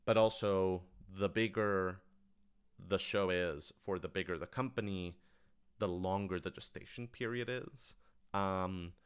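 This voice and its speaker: Low-pitched, smooth-voiced man chatting casually. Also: unhurried. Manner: rambling, thinking aloud, enthusiastic. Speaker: writer-director of audio dramas answering questions. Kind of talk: casual livestream Q&A talk.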